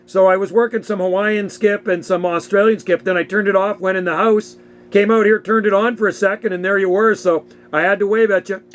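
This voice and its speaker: A man's voice: sits high, fairly even intonation, a little fast. A man chatting casually and easily as a radio operator making a contact, sometimes repeating himself. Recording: faint background noise and hum.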